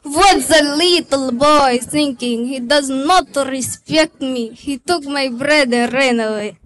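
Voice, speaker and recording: A young woman putting on a threatening Russian-accented English voice, speaking lower and slower. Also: fairly bright tone, rough recording. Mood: menacing. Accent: put-on Russian.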